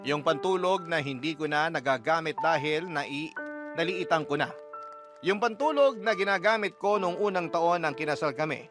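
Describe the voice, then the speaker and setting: A man narrating as a heartbroken wife confiding a love story in scripted radio style. The voice is fairly high and sentimental, set over loud background music. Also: expressive, normal speed, close to an everyday voice. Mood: wistful, hopeful.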